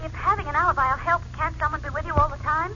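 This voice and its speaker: An American actress playing a devoted, worried young sweetheart who speaks in an earnest, insistent, pleading way in a 1940s radio drama. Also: female voice, high voice, fairly even intonation, fast talker, pushed and bright. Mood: worried, tender. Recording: muffled, noisy 1940s radio recording.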